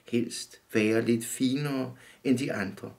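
A man in his fifties calmly reading his own short story aloud in a dim room, measured and steady. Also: medium pitch, vocal fry. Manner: calm, measured reading, often looking down at the text. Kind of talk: literary reading.

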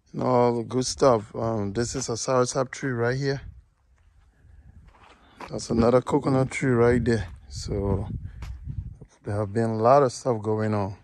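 A man giving a casual outdoor walkthrough of his farm plot, talking haltingly with many fillers and pleading with viewers to subscribe. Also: medium pitch, darkish tone, no echo. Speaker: vlogger giving a farm tour.

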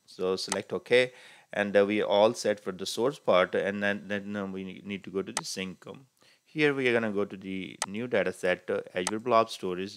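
Low-voiced man with frequent "uh" fillers. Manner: unhurried, many "uh" fillers while clicking through steps. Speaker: tutorial instructor. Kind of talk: screen-recorded software walkthrough. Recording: faint hiss behind voice.

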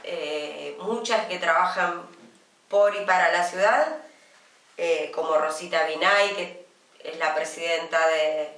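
A woman in her fifties with an Argentine accent, co-hosting a casual studio chat show and talking chattily with many drawn-out pauses. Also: on the low side, fairly even intonation, fairly bright tone, recorded from a distance. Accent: Argentine Spanish. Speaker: co-host. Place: small TV studio, indoors. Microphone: desk microphone, some distance away. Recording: roomy studio sound from off-mic.

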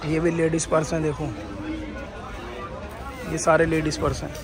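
A man's voice: on the high side, completely flat delivery, normal speed. A male vlogger walking through a crowded outdoor market, casually pointing out goods and prices on a handheld phone. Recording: loud crowd noise. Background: crowd and traffic.

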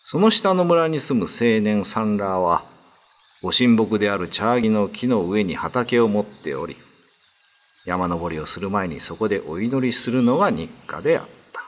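A man's voice: fairly low pitch, lively intonation, normal speed. A man giving scripted, solemn narration of an old ghost story.

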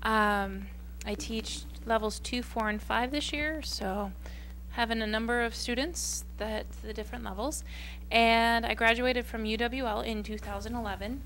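A woman's voice: fairly high pitch, steady tone, measured pace, a bit bright, a little hiss or hum, poor audio. Woman in her thirties introducing herself. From Wisconsin. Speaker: new high school French teacher. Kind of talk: self-introduction at a meeting. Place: school board meeting room. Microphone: handheld mic.

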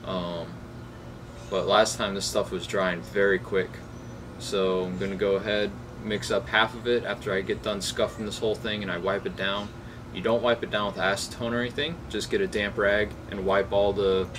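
A man in his twenties talking casually through a how-to job as a DIY video host, recorded outdoors on a camera mic. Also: low-pitched. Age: 20s. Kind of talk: casual how-to vlog talk. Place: outdoors beside a shed. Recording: clearly noisy outdoor ambient hum.

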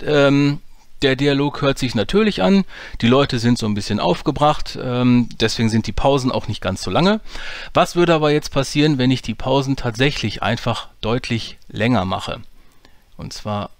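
A man's voice: medium pitch, moderate pace, no room sound. Casual man explaining dialogue editing. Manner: casual, explanatory, frequent hesitations. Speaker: audio drama producer and tutorial host. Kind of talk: screen-recorded software tutorial.

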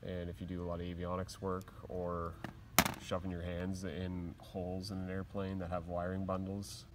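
A man's voice: sits low, monotone, normal speed, very noisy. A man who works as an aircraft mechanic, chatting casually and rambling a little as he shows his tools at a workshop bench. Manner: casual, rambling, plain-spoken with fillers. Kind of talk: casual tool show-and-tell. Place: workshop at a steel workbench.

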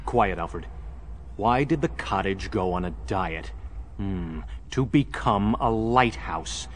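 An actor playing a stern, brooding masked crime fighter in a children's audio drama, deliberate and dry. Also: male voice, lowish voice, expressive, a little hiss or hum. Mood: thinking hard.

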